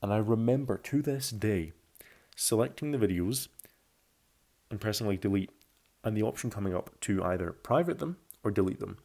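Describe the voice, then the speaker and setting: A man in his early twenties with a lowish, dark and relaxed voice. It has a noticeable gravelly creak. He speaks like a casual YouTuber, circling back to rephrase himself as he goes. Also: normal speed. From Belgium, Scotland and France. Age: early 20s. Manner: rambling, self-correcting, restating phrases. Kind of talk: casual video commentary about himself.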